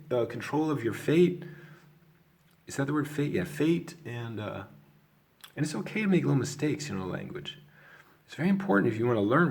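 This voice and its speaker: A man's voice: mid-range voice, gravelly. A man from Chicago with an American accent, talking loosely in a casual monologue as an online teacher, often asking "you know what I'm saying?". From Chicago, USA.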